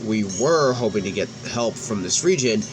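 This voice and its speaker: A man's voice: fairly low pitch, animated, normal speed, rough recording. A man hosting a let's play, reading game cutscene dialogue aloud and sometimes stumbling and restarting words as he goes. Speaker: gaming video host. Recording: background music behind the voice. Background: music.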